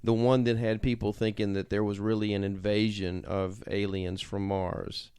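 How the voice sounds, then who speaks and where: A man's voice: on the low side, level tone, moderate pace, mellow, clear, resonant tone, no echo, studio quality. A man co-hosting a podcast in casual chat, often repeating words as he finds his way through a sentence. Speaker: podcast co-host.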